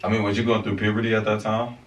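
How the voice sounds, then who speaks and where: A man's voice: on the low side, level tone, very fast. A young man co-hosting a podcast, asking teasing questions in casual chat. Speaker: podcast co-host. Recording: roomy, distant mic with faint noise.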